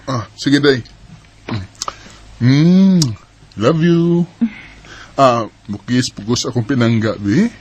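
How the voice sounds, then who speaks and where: A man's voice: mid-range voice, slow pace, pauses often, a little mellow, slight background noise, natural voice. A man acting an affectionate, easygoing young husband and father with a Bisaya Filipino accent in a radio drama. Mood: coaxing, affectionate.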